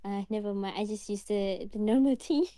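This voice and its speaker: A woman from Malaysia with a Malaysian accent, chatting casually as a livestream guest in halting, simple phrases. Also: mid-range voice, dark tone, dry recording. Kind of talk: casual chat.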